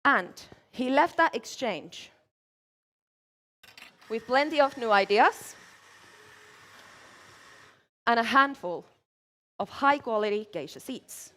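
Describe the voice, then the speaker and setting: A woman from Finland, giving a measured competition presentation as a barista at a live event. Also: on the high side, lively intonation, fairly bright tone. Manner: measured, clear presenting while working.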